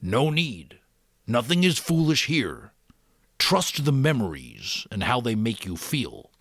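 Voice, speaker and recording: A man voicing a gentle, wise old keeper of memories in a storybook, patient and reassuring. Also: medium pitch, very animated, slow, breathy edge, dry recording, studio quality, voice pushed up, exaggerated voice.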